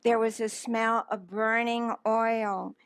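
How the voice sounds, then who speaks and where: High-voiced woman reading slowly to children. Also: level tone, no room sound. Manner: very slow, drawn-out, dramatic emphasis, asks listeners questions. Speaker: children's library story time reader. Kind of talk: animated picture-book read-aloud.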